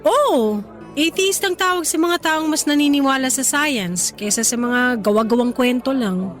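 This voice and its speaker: A skeptical, playful young woman with a fairly high voice. She talks in a casual, teasing way, stubborn yet fond, as in a radio drama, with soft music behind her. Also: normal speed. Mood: cocky, debating. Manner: teasing, casual, animated retorts. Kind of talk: conversational radio drama acting. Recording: studio dialogue over faint music.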